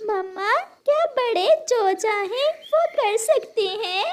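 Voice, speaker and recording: A woman's voice: very high-pitched, lively intonation, slow, crowd noise, pitched above the performer's own voice, full character voice. A woman voicing a tearful, pleading little boy bird in a cartoon story. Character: pleading little boy chick, heartbroken younger brother.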